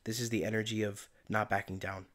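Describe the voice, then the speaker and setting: Low-voiced man giving a gentle tarot reading. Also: level tone, brisk. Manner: soft, meandering and reflective, with many fillers. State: voice giving out, tired by day's end. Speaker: tarot reader and channeler. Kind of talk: casual spiritual tarot reading.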